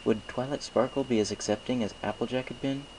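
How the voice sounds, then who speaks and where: A man's voice: medium pitch, steady tone, fairly quick, darkish tone, slight background noise, rough recording. A man reading a story aloud in scripted narration, as an amateur fiction reader.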